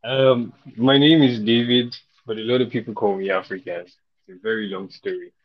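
A man's voice: on the low side, steady tone, normal speed. Young man guest with a head cold, from Nigeria, loose jokey delivery, muffled lo-fi sound. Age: young adult. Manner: joking, loose, self-mocking. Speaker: podcast guest. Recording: dull lo-fi audio.

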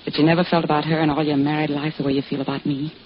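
An actress playing a scheming, hard-boiled mistress in an old-time radio crime drama, insistent, domineering and coolly manipulative. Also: female voice, low-pitched, level tone, very fast, lightly acted. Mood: hard, demanding. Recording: dull, noisy vintage radio recording.

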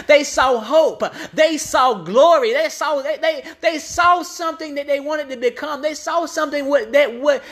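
A woman's voice: sits high, a bit bright. A woman preacher delivering an impassioned, off-the-cuff sermon, repeating phrases emphatically to build up her point.

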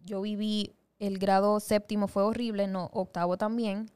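A young woman with a Dominican Spanish accent blended with Puerto Rican speaks in a smooth, clear voice. She tells her story earnestly and with emphasis, as in a relaxed conversation. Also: medium pitch, moderate pace. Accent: Dominican Spanish mixed with Puerto Rican. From Dominican Republic. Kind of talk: casual conversation.

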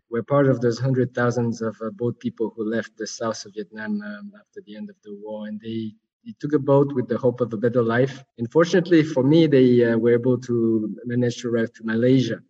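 A man in his thirties from Switzerland talks through his background as a podcast guest. He wanders and corrects himself as he goes, and his voice sits at an ordinary middle pitch. He sounds set back from the mic on a dull, muffled call line. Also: darkish tone, slightly rough. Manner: rambling, self-correcting storyteller. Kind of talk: conversational interview over a call. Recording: distant, dull remote line.

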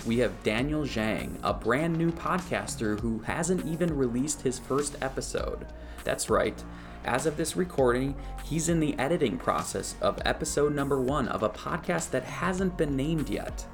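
Male podcast host, mid-pitched, music bed underneath, stumbles and restarts questions. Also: gravelly. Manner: restarts and repeats words in questions. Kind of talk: scripted intro then casual interview.

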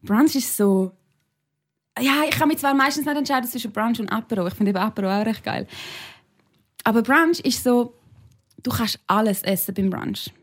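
Verspielte Schweizer Podcasterin. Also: female voice, on the high side, moderate pace. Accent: Swiss German. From Switzerland, Sarganserland area. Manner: playful, teasing. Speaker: podcast host. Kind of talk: casual podcast chat.

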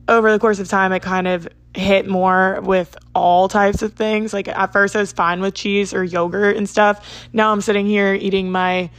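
A woman in her twenties from South Jersey, hosting a podcast in a rambling, chatty monologue, her voice hoarse and raspy. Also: medium pitch, no echo. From South Jersey, United States. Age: 20s. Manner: rambling, lots of "like" and asides. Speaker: podcast host.

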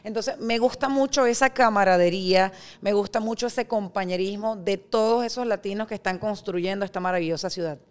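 A woman being interviewed as an entrepreneur guest, speaking in a frank, emphatic and expressive way. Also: mid-range voice, a little fast, hardly any pauses, clear, resonant tone. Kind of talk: interview conversation.

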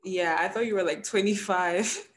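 A woman's voice: mid-range voice, normal speed, background noise. A young woman from Ghana who just finished university, interviewing in a chatty, teasing way full of "like". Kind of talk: casual interview chat.

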